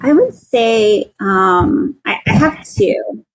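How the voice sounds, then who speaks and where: A woman's voice: fairly high pitch, big pitch swings, slow pace, poor audio. A woman in her thirties with a young-sounding voice, a guest in a casual interview, talking earnestly with frequent laughs and restarts. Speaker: guest, patent attorney.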